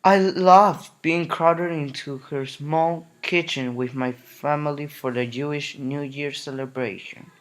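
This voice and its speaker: A man reading a children's picture book aloud in a gentle, unhurried way. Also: a little high, fairly even intonation. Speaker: narrator. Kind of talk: storybook reading.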